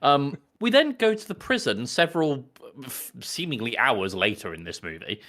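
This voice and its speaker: Lively guy joking on a podcast, bright forceful voice, swears, sounds incredulous. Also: medium pitch, normal speed. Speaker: podcast co-host. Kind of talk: casual comedic banter about a film.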